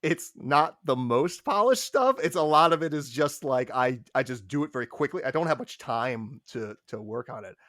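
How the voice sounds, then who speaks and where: A man's voice: mid-range voice, no room sound, studio quality. A man who composes music, answering casually and animatedly, acting out sounds as he explains. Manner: animated, sings and mimics sounds to illustrate. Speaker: audio drama composer.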